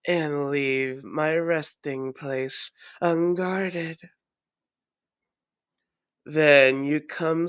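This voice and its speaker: A woman voicing a menacing, taunting ancient vampire in a theatrical, deliberate audio roleplay monologue. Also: sits low, smooth, dry recording, lo-fi sound.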